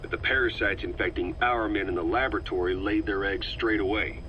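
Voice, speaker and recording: A man doing a deep-voiced probing commander. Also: steady tone, fairly quick, close to an everyday voice. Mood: questioning. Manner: curious, probing questions. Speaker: commander questioning scientist. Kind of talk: game audio log acting. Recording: dull noisy cassette tape.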